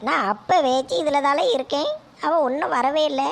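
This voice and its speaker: Gravelly, nagging village grandmother. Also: sits high, moderate pace, a little hiss or hum. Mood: scolding. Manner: nagging, scolding, sharp rebukes. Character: scolding village grandmother, older woman, quick-tempered. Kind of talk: comedy skit acting.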